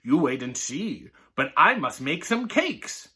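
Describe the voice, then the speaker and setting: A man voicing a tiny, clever woman who is calm and confident as she schemes in a storybook. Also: a little high, slow, slightly rough, full character voice. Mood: sly confident.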